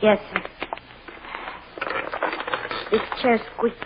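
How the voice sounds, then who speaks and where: A woman's voice: a little high, fairly even intonation, extremely slow. A woman playing a plain-spoken, fussy chambermaid giving hesitant, literal-minded testimony in 1940s radio drama style. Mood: fussy, distracted. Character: plain-spoken, fussy working-class chambermaid on the witness stand. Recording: dull, noisy old-time radio recording.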